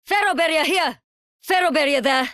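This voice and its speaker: A woman playing a brash, matey fighter shouting out sightings in a video game. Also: high voice, moderate pace, no echo, clean studio sound. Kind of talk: video game character callouts.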